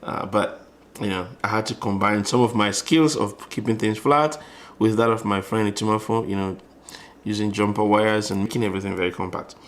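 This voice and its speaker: A man with a fairly low voice presents an informal hands-on tutorial. He explains things conversationally, as a presenter would while demonstrating, and often rewords his phrases along the way. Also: steady tone, brisk, a bit bright, a little hiss or hum. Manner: explanatory, conversational, frequently rephrasing.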